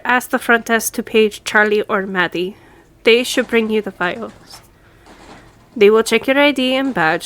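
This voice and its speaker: A woman's voice: medium pitch, fairly bright tone, close to an everyday voice. A woman playing a friendly, businesslike law firm boss in an audio roleplay, speaking conversationally to the listener. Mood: brisk, friendly. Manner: businesslike, conversational, lightly teasing. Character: brisk, friendly female law firm boss giving instructions.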